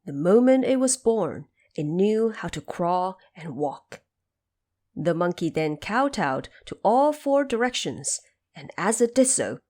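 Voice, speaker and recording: Lower-voiced woman narrating a story. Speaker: narrator of an audio drama series.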